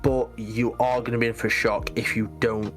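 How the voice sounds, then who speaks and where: Guy on a podcast, lively and punchy, faint music behind. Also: medium pitch, moderate pace. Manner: animated, emphatic, talks in short bursts. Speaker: podcast guest, Twitch mod. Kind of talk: casual podcast chat.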